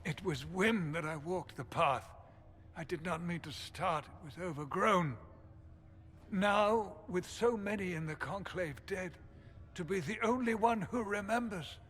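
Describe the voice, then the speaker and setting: An actor playing a devout, earnest male church chancellor who speaks in a halting, reverent way, in a video game cutscene. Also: sits high, a bit bright, a little hiss or hum.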